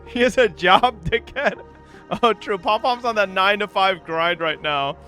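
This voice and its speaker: A man's voice: sits high, moderate pace, pushed and bright. A man livestreaming a game reaction, joking crudely and swearing as he chats casually with viewers. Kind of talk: casual chat with viewers, profane. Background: game music.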